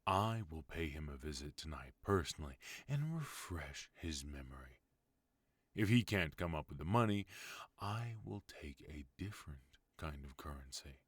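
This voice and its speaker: Guy doing a ruthless mafia boss husband for an asmr roleplay, super low voice, dry close sound, cold and menacing. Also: lively intonation, normal speed, breathy edge, dry recording, heavily acted. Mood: cold, threatening.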